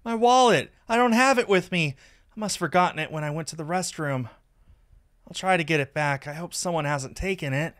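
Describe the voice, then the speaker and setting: A man's voice: a little high, fairly quick, fairly bright tone, voice pushed up, natural voice. A man voicing a timid, easily flustered student in a visual novel, exclaiming in an urgent way. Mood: panicked. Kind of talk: visual novel character voice-over.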